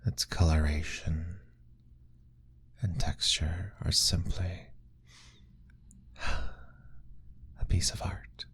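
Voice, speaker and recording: A man doing a deep, courtly vampire lord. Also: level tone, drawn-out words, creaky, pauses often, husky, heavily acted. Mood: tender, hushed. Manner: formal, old-fashioned, gently pleading; soft, intimate, often whispered. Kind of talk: ASMR roleplay audio.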